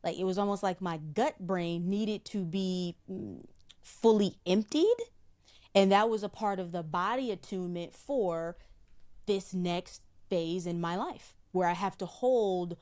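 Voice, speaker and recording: A middle-aged woman with a somewhat low voice talks through spiritual ideas in an interview. She speaks in a measured, explanatory way and often repeats a word as she searches for the next one. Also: darkish tone. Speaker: psychic guest.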